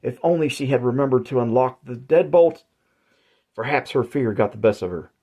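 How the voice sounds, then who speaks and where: A man narrating his own horror story in a measured, deliberate way. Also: medium pitch, animated, warm tone, slightly rough. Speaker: author and narrator.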